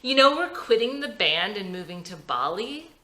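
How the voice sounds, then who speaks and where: Female reader as reassuring bandmate, youthful, sincere, animated pitch, roomy recording. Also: moderate pace. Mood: awkward, relieved.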